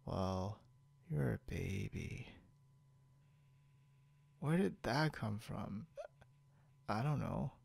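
A man's voice: fairly low pitch, animated, gravelly, a little mellow, breathy edge, heavily acted. A man playing a tired, playful drunk young man, rambling and giggly in an intimate ASMR roleplay, close to the mic. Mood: confused, worried. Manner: rambling, slurred-slow, giggly, whispery at times. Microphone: close microphone, dry.

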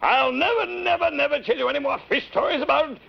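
A man voicing a blustery, flustered old schemer marionette on a 1950s children's show, stammering and repeating himself theatrically with a creaky voice. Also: very high voice, fast talker, heavily acted. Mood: contrite. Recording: muffled old television soundtrack.

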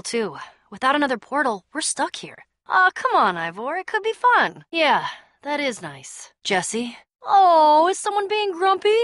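Bright, feisty young adventurer woman. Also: high voice, dry recording, studio quality, exaggerated voice. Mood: casual, friendly. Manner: casual, wry, teasing. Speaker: video game voice actor. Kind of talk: animated game dialogue.

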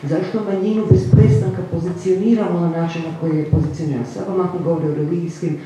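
A woman panelist speaking quickly at a panel discussion, searching for words as she goes. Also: fairly low pitch, fairly even intonation, background noise. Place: cinema hall panel table. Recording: echoey hall, distant mic.

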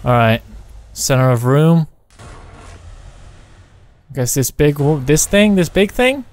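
A man's voice: a little high, animated. Man thinking aloud during gameplay. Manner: thinks aloud in short, halting phrases. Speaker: gaming video host, player. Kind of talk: casual co-op gameplay commentary.

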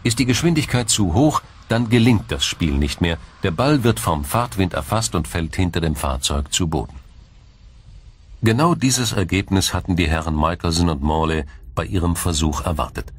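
A man narrating a scripted science documentary in a measured, formal, explanatory manner. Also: low-pitched, lively intonation, slightly rough.

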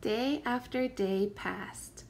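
Lady doing a kids storybook read-aloud, slow and careful, bit of gravelly creak. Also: a little high, darkish tone, a little hiss or hum. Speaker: teacher reading a picture book aloud.

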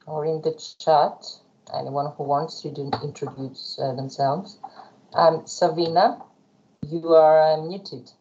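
Woman moderator, very low voice, gravelly, dark tone, muffled video-call audio. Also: takes it slowly. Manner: calm, polite, guiding. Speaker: webinar moderator. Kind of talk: moderating an online meeting.

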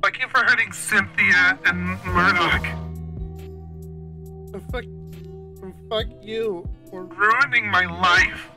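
A man's voice: high voice, slow, long pauses, bright, forceful tone, faint music behind, voice pushed up, full character voice. A man voicing a grieving, enraged young animal character in a visual novel, anguished and bitter. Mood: anguished rage. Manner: anguished, cursing through tears.

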